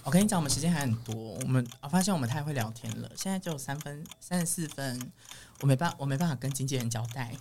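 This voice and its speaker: Playful Taiwanese podcast host, very lively pitch. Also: fast talker, noisy background. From Yilan, Taiwan. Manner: playful, teasing. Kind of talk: casual interview chat.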